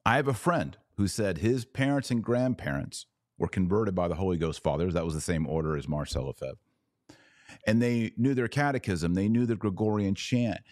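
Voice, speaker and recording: A man's voice: lowish voice, normal speed, darkish tone, dry recording, studio quality. A man hosting a podcast, giving opinionated commentary with emphatic, repeated phrases. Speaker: podcast host.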